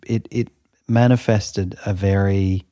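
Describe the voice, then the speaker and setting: A man's voice: on the low side, fairly even intonation, takes it slowly, dark tone, dry recording, clean studio sound. A man telling a story in casual chat, amused, laughing often and restarting his sentences.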